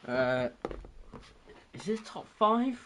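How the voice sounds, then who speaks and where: A man's voice: high voice, sing-song, drawn-out words, fairly bright tone, a little hiss or hum. Man with a cold hosting a stream. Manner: rambling, unsure, many restarts. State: has a cold, a bit congested. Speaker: stream host. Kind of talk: casual chat.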